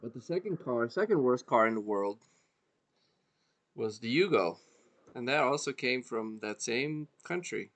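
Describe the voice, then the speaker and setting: A man born in Serbia, co-hosting a podcast in a relaxed, casual chat, swearing freely and trailing off mid-thought. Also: medium pitch, a little slow, pauses often. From Serbia (former Yugoslavia). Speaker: podcast co-host.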